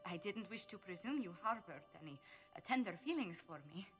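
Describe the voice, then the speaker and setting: A woman's voice: mid-range voice, lively intonation, fast, music in the background, close to an everyday voice. A woman voicing a flustered, courtly ambassador. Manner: formal, flustered, stammers when caught off guard. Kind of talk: video game dialogue.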